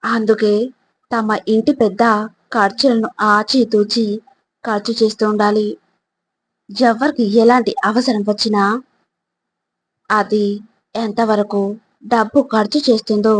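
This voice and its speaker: Slow, even-toned woman narrating life advice. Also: mid-range voice, slight background noise. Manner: measured, instructive, addressing the listener directly. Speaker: narrator of motivational videos. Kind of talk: scripted motivational narration.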